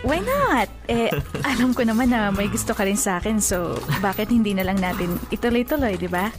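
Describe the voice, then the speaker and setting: Actress as a flirty Filipina gym trainer, music behind. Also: female voice, medium pitch, expressive, moderate pace, lightly acted. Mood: flirty, coaxing. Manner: coquettish, teasing. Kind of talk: Filipino radio drama acting.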